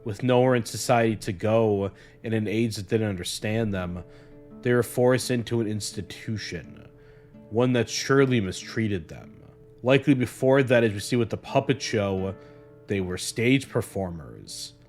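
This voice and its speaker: A man narrating a scripted video essay in a measured, earnest way. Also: on the low side, darkish tone. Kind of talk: scripted analytical narration of a horror series. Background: guitar music.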